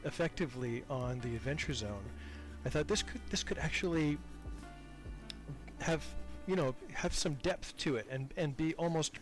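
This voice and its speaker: A man's voice: on the high side, normal speed, soft background music. A man being interviewed as a podcast creator, talking casually and reflectively in a self-deprecating, hesitant way, repeating words as he thinks.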